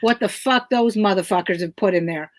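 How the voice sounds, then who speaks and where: A middle-aged woman comedian with a loud voice, ranting emphatically and swearing freely in casual chat. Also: medium pitch, a little fast. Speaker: comedian guest.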